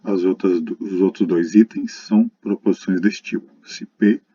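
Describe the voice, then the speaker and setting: A man's voice: low-pitched, steady tone, normal speed, warm tone, breathy edge. A Brazilian man giving a recorded math lesson in a didactic, explanatory way, addressing students directly. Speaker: lecturer. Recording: dull lo-fi muffled recording.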